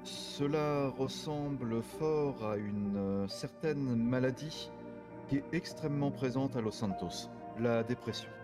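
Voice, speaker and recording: A man playing a courteous private detective in online roleplay, explaining things formally and deliberately, with halting pauses. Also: medium pitch, lightly acted. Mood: grave, measured. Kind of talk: improvised French online roleplay. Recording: background music under the voice.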